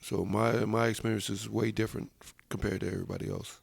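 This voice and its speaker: A man chats on a podcast, telling his stories in a relaxed, drawn-out way. His voice is low and gravelly, with plenty of fry. The sound is close and dry. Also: dry recording. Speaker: podcast co-host, sound system operator. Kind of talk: casual podcast chat.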